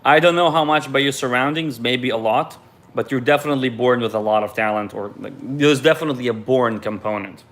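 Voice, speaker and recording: A man's voice: medium pitch, fairly quick, fairly bright tone. A man from Ukraine, raised in Israel, reads viewer questions aloud on a live stream and answers them in a loose, candid way.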